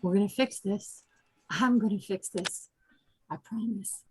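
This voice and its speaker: An actress playing a weary, protective woman with a husky, creaky voice, earnest and subdued. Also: mid-range voice, unhurried, darkish tone, rough recording. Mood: worried, determined.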